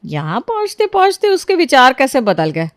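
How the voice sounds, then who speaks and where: A woman voicing a suspicious, probing mother-in-law in an animated story. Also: high-pitched, moderate pace, no room sound, studio quality. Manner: probing, scolding.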